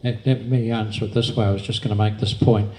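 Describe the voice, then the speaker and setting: A man chairing a community meeting, patiently explaining in a measured way. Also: fairly low pitch, little pitch movement, darkish tone, rough recording.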